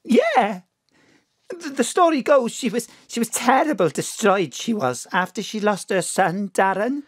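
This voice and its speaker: Slow, swooping Welsh mam. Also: female voice, on the high side, lively intonation, slightly rough, dry recording, clean studio sound. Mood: grave, tender. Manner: emphatic, motherly, colloquial. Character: Astrid's doting, plain-spoken Welsh mother.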